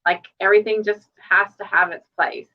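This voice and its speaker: A woman's voice: medium pitch, steady tone, normal speed, highs cut off. A woman chatting casually as a guest on a live stream, self-deprecating and restarting her phrases as she goes. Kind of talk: casual chat.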